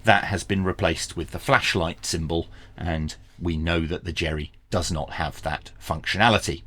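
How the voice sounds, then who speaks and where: A man's voice: sits low, normal speed, sharp tone, breathy edge. A man presenting an unboxing product review in a plain-spoken, informal, opinionated way. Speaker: reviewer and presenter of radio gear.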